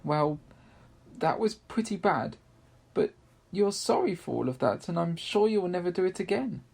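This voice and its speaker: A man's voice: sits high, animated, moderate pace, gravelly, darkish tone, pitched above the performer's own voice, full character voice. A man voicing a kind, earnest little girl from a storybook, with an emphatic, childlike manner. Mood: hesitant, kind.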